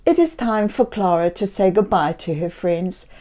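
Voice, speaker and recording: A woman reading a picture book aloud to young children in a theatrical way, slipping into hushed tones and exclamations and gently questioning her listeners. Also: mid-range voice, expressive, moderate pace. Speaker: children's storybook reader.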